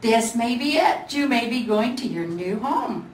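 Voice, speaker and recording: A woman's voice: fairly high pitch, lively intonation, measured pace. A woman voicing a chatty little gingerbread boy ornament, proud and knowing, explaining things matter-of-factly in a storybook reading. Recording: echoey room, distant mic, faint noise.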